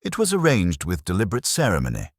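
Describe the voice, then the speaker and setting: Man reading village gossip lady, very low-pitched, grand, deliberate, storybook mystery. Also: wildly swooping pitch, no room sound, clean studio sound, full character voice. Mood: grave relish. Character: authoritative village gossip woman, given to embellishment.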